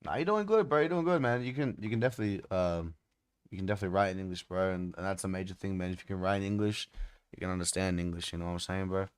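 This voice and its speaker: A man's voice: low voice, very fast, clean tone, no room sound. A young Australian man chatting casually with viewers on a live stream, slangy and easygoing, swearing and calling everyone "bro". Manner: laid-back slangy talk, swears, says "bro" a lot. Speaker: streamer and beat maker. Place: home studio room indoors.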